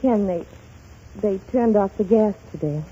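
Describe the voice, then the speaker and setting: An actress playing a fretful, devoted and gently teasing wife in 1930s radio drama style, fussing and soothing by turns. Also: female voice, mid-range voice, breathy edge. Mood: apologetic, hesitant. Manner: fussing, quick to bristle, then soothing. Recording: muffled, noisy 1930s radio recording.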